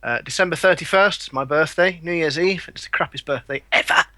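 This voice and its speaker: A man's voice: on the high side, a little fast, pushed and bright, slight background noise. A man, joking around as a podcast co-host. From England. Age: 30s. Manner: joking, playful. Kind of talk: casual chat.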